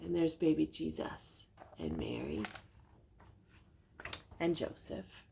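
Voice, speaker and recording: Older woman, children's storybook read-aloud, gravelly, swooping expressive intonation, gentle, speaks directly to young listeners. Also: low voice, slow pace. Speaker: storyteller reading picture books to children.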